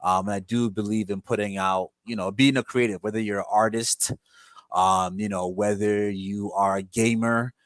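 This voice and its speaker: A man from Ghana, a guest in a casual chat, speaking calmly and measured, with filler words. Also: on the low side, no room sound.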